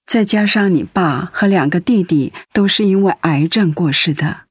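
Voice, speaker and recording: A caring middle-aged wife in a radio drama. Her voice is fairly low and a little husky, earnest and concerned, recorded close and dry. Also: normal speed, no echo. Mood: worried. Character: devoted, anxious wife, practical and caring.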